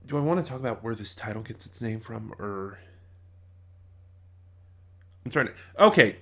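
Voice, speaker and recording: A man's voice: lowish voice, expressive, normal speed, sharp tone. A man giving a casual, rambling review as a reviewer, opinionated but often hesitant and digressive.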